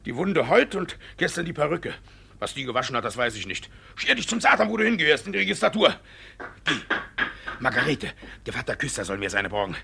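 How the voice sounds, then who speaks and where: An actor playing a devious, blustering village judge with theatrical cursing in an old radio play. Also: male voice, mid-range voice, big pitch swings, fairly quick, vocal fry, pushed and bright, exaggerated voice. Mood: flustered blustering. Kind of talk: 1950s German radio play acting. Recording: noisy old 1950s radio recording.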